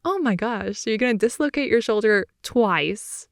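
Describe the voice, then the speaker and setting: A young woman improvising an intimate ASMR girlfriend roleplay, rambling in a playful, slangy way and slipping between soft whispers and more animated talk, close to the mic. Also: fairly high pitch, normal speed, no room sound. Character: wide-awake chatty girlfriend, silly and playful. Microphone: close microphone, dry sound.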